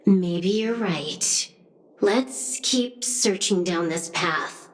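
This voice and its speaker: A woman's voice: lowish voice, very slow, reverberant, in a large hall, rough recording. An actress playing a cool, wry young female hacker in a video game, with a dry, teasing, laid-back delivery. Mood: calm, explaining. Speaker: game character voice actor. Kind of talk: video game dialogue acting.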